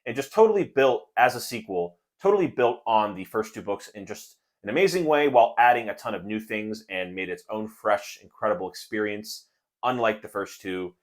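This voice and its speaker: A man's voice: fairly low pitch, expressive, normal speed. A man hosting a casual book chat for viewers, speaking in an enthusiastic, positive way. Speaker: book reviewer and video host.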